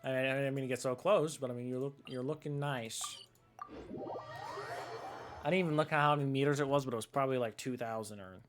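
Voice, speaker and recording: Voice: medium pitch, fairly even intonation, quick pace, pauses often, faint music behind. A video game streamer giving casual, rambling commentary with joking asides.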